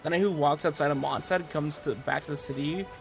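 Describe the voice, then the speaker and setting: A man streaming a game and chatting casually, rambling and excitable, repeating himself for emphasis. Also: on the high side, brisk, vocal fry. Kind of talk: casual livestream chat about a game. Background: faint music.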